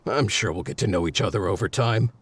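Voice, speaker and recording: A man's voice: lowish voice, lively intonation, fast, slight background noise, lightly acted. Man as an easygoing, folksy site worker. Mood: admiring, mildly grumbling. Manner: folksy, good-natured, casual. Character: easygoing, chatty male excavation site worker. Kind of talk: video game character acting.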